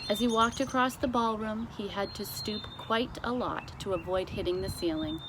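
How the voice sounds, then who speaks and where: A woman reading a children's storybook aloud as narrator, with expressive delivery. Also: medium pitch, normal speed, noisy background. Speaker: storybook reader.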